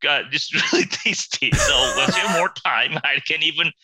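A middle-aged man from Korea, a guest talking casually in an interview, often pausing to search for words and chuckling. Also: a little high, sharp tone, breathy edge, no room sound.

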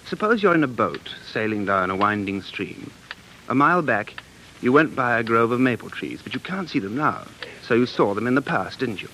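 A man's voice: medium pitch, expressive, normal speed, bright, forceful tone, a little hiss or hum, poor audio. A man playing an earnest, dreamy American living in London, speaking intensely and musingly in 1940s radio drama style. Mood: eager, persuasive. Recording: 1940s radio broadcast, faint music.

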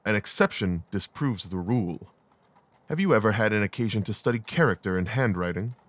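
Guy playing a brainy detective in an audio drama, lowish voice, dry sound, cold logic, clipped and precise. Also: expressive, brisk, fairly bright tone, no echo. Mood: cool, detached. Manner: precise, clipped, analytical, aloof. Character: brilliant, coolly rational gentleman detective.

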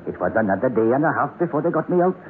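An actor playing a haunted, half-mad old miner, rambling and insistent in 1960s radio horror style. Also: male voice, medium pitch, very animated, racing, natural voice. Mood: brooding, insistent. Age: older. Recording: noisy, muffled old radio recording.

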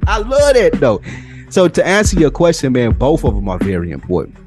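A man of average pitch banters as a podcast co-host, his intonation swinging widely. He is blunt, casual and profane, heavy on slang, and music plays clearly behind the talk. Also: wildly swooping pitch, a little fast.

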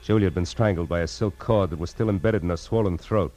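Deep-voiced hard-boiled radio private eye played by an actor. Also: male voice, quick pace, mellow, lightly acted. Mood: grim narration. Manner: sardonic, clipped, slangy delivery. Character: hard-boiled wisecracking male private detective. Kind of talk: 1940s radio acting with first-person noir narration. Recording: old radio recording with music.

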